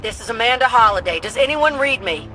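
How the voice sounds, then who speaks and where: An actress playing a bold, cheeky young pilot in a video game, with a Southern US accent and a folksy, breezy, informal manner. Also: female voice, fairly high pitch, animated, fairly quick, music in the background, lightly acted, rough recording. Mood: concerned. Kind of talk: video game mission dialogue.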